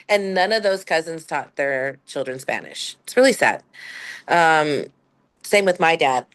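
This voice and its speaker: A woman's voice: sits low, no room sound. A woman in her fifties from Southern California, telling a rambling personal story with laughs between thoughts. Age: 50s. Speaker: panel guest.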